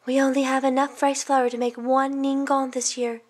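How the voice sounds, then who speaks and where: A woman voicing a caring, practical village mother in a storybook, gentle in manner. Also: sits high, moderate pace, voice pushed up, lightly acted. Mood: sad.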